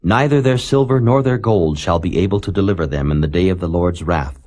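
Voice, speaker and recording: Very deep-voiced man reading scripture. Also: a little fast, a little mellow. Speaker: Bible narrator.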